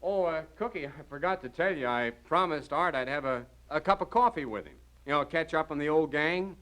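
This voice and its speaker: A man's voice: fairly high pitch, a little fast, a bit bright, lightly acted, low-quality recording. An actor playing a nervous married man in a mid-century radio crime drama, stammering and over-eager as he hides his trouble. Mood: nervous, hearty.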